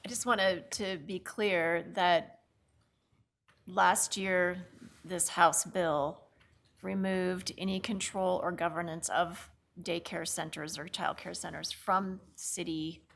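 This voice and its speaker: A woman's voice: on the low side, steady tone, slow, creaky, fairly bright tone. A woman council member asking pointed clarifying questions in a precise manner. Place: city council chamber.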